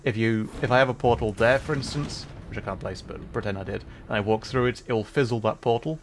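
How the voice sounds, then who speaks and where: A man casually commenting as he plays a game, relaxed and chuckling, explaining the mechanics to viewers. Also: on the low side, a little fast, a little hiss or hum. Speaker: streamer.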